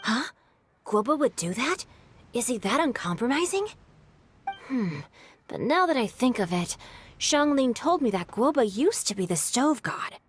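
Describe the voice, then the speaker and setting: A woman voicing a teasing, well-spoken youth in a game, lighthearted and expressive. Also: fairly high pitch, unhurried, husky, heavily acted. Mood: puzzled. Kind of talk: animated game cutscene voice acting. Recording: music under the voice.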